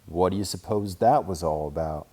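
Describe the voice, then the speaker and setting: A man's voice: low-pitched, moderate pace, warm tone. A man reading a children's storybook, voicing a blustery, bemused father bear who stresses key words emphatically. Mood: exasperated. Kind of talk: children's storybook read aloud.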